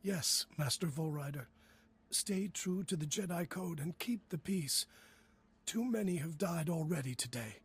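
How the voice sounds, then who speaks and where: An actor playing an earnest, resigned family man in a video game, with a very breathy, husky voice. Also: a little high, animated, unhurried, exaggerated voice. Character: earnest, pleading husband and father, resigned nobleman. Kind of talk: video game dialogue acting.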